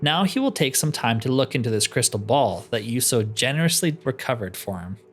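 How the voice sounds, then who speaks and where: A man's voice: medium pitch, lively intonation, fairly quick, lightly acted. A man reading the part of a courteous, scholarly cat-man spell trainer, speaking formally in game dialogue. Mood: thoughtful, scholarly. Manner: formal, speaks of himself in third person.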